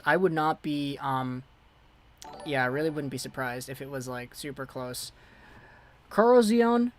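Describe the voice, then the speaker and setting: Chatty young man hosting a livestream. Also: fairly high pitch. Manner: chatty, thanks subscribers, reads chat aloud. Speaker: livestream host. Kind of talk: casual live chat, reading viewer messages.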